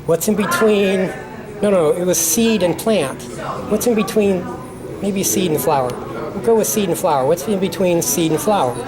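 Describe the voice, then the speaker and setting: A man with a slightly high voice chats freely, telling stories and slowing down to explain each point. Clear crowd chatter fills the background. He sounds relaxed and talkative. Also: over music. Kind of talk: casual chat.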